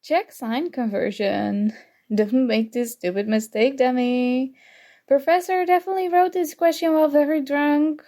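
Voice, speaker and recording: A woman playing a flustered, teasing young student rival in an intimate ASMR roleplay, soft-spoken, mock-annoyed and hesitant. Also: high voice. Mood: amused, teasing.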